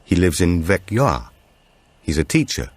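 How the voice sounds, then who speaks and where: A man's voice: deep voice, very animated, unhurried, no room sound, exaggerated voice. A man voicing a practical, questioning young woman in an audiobook thriller. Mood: matter-of-fact.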